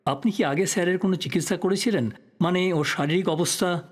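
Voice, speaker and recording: A man's voice: high voice, fairly quick. A man playing a polite but persistent investigative journalist in an audio drama, asking courteous questions conversationally. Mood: probing, courteous. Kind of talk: Bengali audio drama acting.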